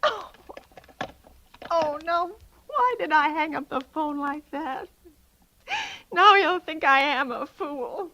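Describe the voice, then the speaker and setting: An actress playing a demanding, self-pitying invalid wife with an American accent, fretful and stammering, in a 1940s radio suspense drama. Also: female voice, high-pitched, animated, slow pace, long pauses, pushed and bright, exaggerated voice, poor audio. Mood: despairing.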